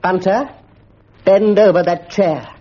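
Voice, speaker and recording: Grim older schoolmaster, slow and severe, as a man puts him on; husky, dull recording. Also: sits high, pitched above the performer's own voice, full character voice. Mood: commanding.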